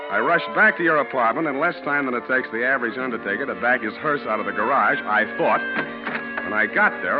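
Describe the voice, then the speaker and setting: An actor playing a wry, streetwise private detective in 1940s American radio style, with a dry, sardonic, slangy delivery. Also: male voice, mid-range voice, lightly acted. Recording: dull vintage radio recording with music.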